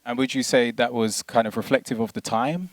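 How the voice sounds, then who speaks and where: A man's voice: medium pitch, brisk, dry recording. A man with a British accent hosting a live interview, chatty and informal, putting questions to a guest. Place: live event with an audience.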